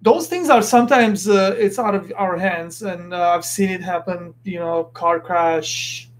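A man's voice: sits high, a little slow. A man from Lebanon hosting a podcast, talking earnestly in a casual motivational monologue and often restarting as he searches for words. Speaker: podcast host.

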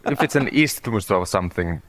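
Low-voiced man. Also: expressive, a little fast, a bit bright, no room sound. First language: Finnish. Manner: thinks aloud, short replies. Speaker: trivia contestant. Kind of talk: casual banter.

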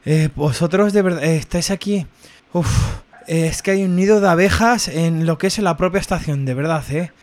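A man's voice: on the high side, normal speed, close to an everyday voice. A man with an accent from Spain playing a frantic, breathless motorist who stammers and pleads for help on a prank call. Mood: breathless urgency. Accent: Spain Spanish. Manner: stammering, sighing, urgent.

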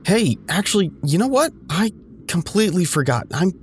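A man's voice: high voice, very animated, moderate pace, slight background noise. An actor playing an awkward, scheming man in an audio drama, talking to an old friend in a hesitant, stammering, over-eager way. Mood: eager, coaxing. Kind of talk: naturalistic audio drama dialogue.

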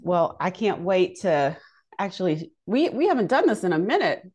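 A woman's voice: lowish voice, big pitch swings, moderate pace, a little mellow, breathy edge. A woman co-hosting a podcast, introducing guests in a chatty, informal way and laughing easily. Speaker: podcast co-host.

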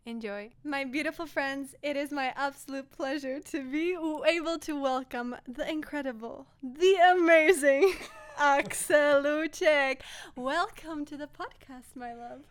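A woman's voice: high-pitched, a little slow. A woman hosting a casual podcast interview from a bedroom at home, speaking in an effusive, enthusiastic way. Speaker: podcast host.

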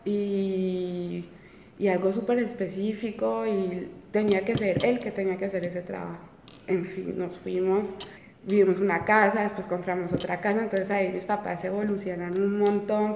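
A woman's voice: mid-range voice, flat delivery, moderate pace, gravelly, slight background noise. A woman in her twenties, an interview guest telling her own story casually, with many fillers and restarts. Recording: roomy, reverberant, dull sound.

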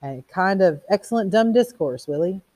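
A reader putting on a smug, taunting fire captain, pitched up. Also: lively intonation, moderate pace, a little mellow, no room sound, close to an everyday voice. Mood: gloating. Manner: theatrical, declaiming quotations. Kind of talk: audiobook character reading.

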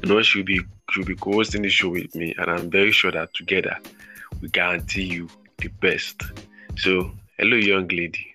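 A man's voice: sits low, flat delivery, sharp tone, faint music behind, poor audio. A male podcast host, chatting casually and restarting his sentences often. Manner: halting, many restarts and repeated words.

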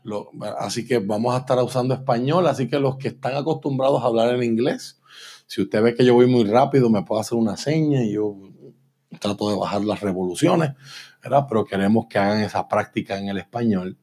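Husky preacher man languages. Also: mid-range voice, a little mellow. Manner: emphatic, slows and repeats for stress, asks "¿verdad?". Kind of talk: bilingual church sermon. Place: church sanctuary.

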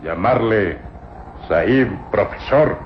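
A faintly noisy old radio drama recording carries a humble, loyal slave. He speaks slowly and timidly, in broken, halting phrases full of submission. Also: on the low side, lively intonation, muffled recording. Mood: hesitant, dutiful. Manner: halting, servile, broken infinitive-only phrasing. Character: humble, fearful, loyal male Ethiopian slave. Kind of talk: old radio serial acting.

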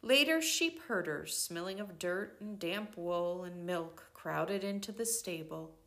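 An older woman reading a devotional book aloud in a gentle, measured way, pausing between phrases. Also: on the low side, lively intonation. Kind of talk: slow reflective book reading.

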